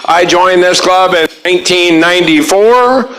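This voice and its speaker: A man in his sixties with a notably high voice stands to introduce himself at a club lunch. He speaks in a formal, earnest way, full of gratitude. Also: unhurried, a bit bright, rough recording. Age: 60s. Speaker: club member, past president. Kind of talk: short self-introduction.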